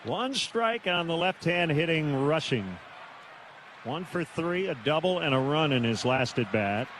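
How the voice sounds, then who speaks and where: An American man calling live baseball on the radio in short, clipped phrases with pauses. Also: fairly high pitch, lively intonation, a bit bright. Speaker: baseball play-by-play announcer. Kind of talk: live radio play-by-play commentary. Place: stadium broadcast booth. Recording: noisy live ballpark broadcast.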